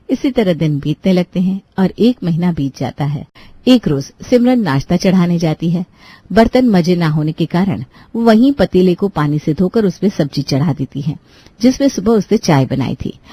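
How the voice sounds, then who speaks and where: A woman's voice: lowish voice, warm tone, slightly rough. A woman narrating a scripted moral story for a cartoon as storyteller.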